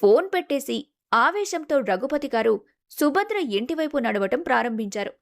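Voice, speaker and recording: A woman's voice: high-pitched, big pitch swings, moderate pace, vocal fry. A woman storyteller reading scripted narration for a moral story.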